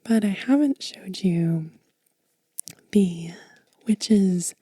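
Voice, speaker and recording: Whispering woman, very soft and mellow. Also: mid-range voice, lively intonation, breathy edge, no room sound. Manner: gentle, unhurried, informal. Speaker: ASMR channel host. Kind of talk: soft-spoken whispered ASMR show and tell. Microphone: close microphone.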